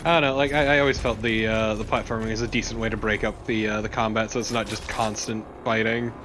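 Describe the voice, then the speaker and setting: A man's voice: lowish voice, level tone, fast talker, background noise. A man, a young adult, chatting casually as co-commentator over gameplay, conversational and swearing freely. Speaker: co-commentator on a let's play.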